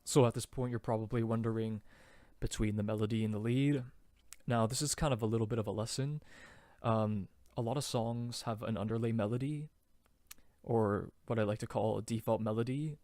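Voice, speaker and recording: A man's voice: fairly low pitch, fairly even intonation, brisk, mellow, no echo. Chatty man with a very dry close sound. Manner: loose and chatty, many fillers and restarts. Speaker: vocal harmony tutor. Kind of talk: casual harmony tutorial.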